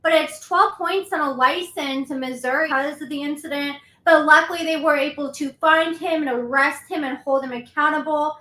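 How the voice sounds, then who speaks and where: A woman's voice: high-pitched, steady tone, moderate pace, hardly any pauses, sharp tone. A woman hosting a commentary video, chatting and explaining events step by step. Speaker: commentary host. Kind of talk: casual commentary. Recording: roomy echo.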